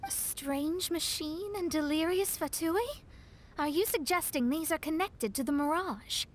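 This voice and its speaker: A woman's voice: high-pitched, a little slow, slight background noise. A woman playing a proud, refined young astrologist in a video game, self-assured and precise. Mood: confident. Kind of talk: video game character acting. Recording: music behind.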